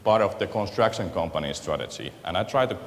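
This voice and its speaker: A man with a Finnish accent gives a conference talk into a handheld microphone, his voice sitting very low. He pauses often to search for words in a language that is not his own. The sound rings with the long reverb of a big lecture hall. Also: animated, gravelly. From Finland. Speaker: guest speaker at a conference. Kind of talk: conference presentation.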